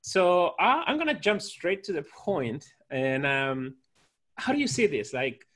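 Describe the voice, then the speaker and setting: A man's voice: on the high side, big pitch swings, a little slow, fairly bright tone. A man in his thirties with a Spanish-speaking accent, hosting an interview over a headset and often repeating words as he thinks. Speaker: host. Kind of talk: casual interview chat. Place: office with banner. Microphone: headset on video call.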